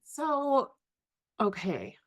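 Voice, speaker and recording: A woman's voice: on the high side, huge pitch swings, very slow. A woman hosting a podcast interview, reacting with enthusiasm and asking casual questions. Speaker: podcast host.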